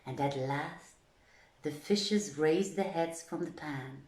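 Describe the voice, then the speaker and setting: Female storyteller for literary narration: slightly low voice, unhurried dramatic delivery, roomy acoustic. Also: darkish tone. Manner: measured, dramatic, deliberate phrasing. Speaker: storyteller, reader.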